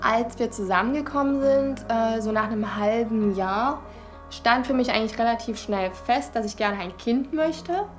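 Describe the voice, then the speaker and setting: A woman of twenty-nine talks in a chatty, self-mocking way, her voice sitting a touch high. Music plays quietly under her. The tone is casual and unscripted. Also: normal speed. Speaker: reality documentary participant. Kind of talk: casual chat. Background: background music.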